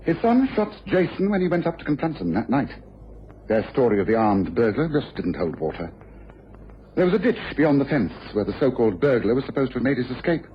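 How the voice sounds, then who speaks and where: An actor playing a shrewd, self-assured English gentleman detective in an old-time radio drama. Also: mid-range voice, big pitch swings, a little fast, lightly acted. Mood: smug, explanatory. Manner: articulate, authoritative, self-assured. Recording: dull, hissy old radio recording.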